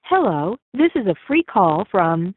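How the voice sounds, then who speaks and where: A woman's voice: mid-range voice, big pitch swings, a little slow. A woman delivering recorded announcements for an automated phone system. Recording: tinny phone line recording.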